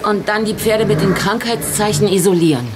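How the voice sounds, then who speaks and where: A woman's voice: medium pitch, a little fast, very noisy, natural voice. An actress playing a sensible, concerned woman who runs a horse farm in an audio drama, practical and composed. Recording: loud stable noises and birds behind.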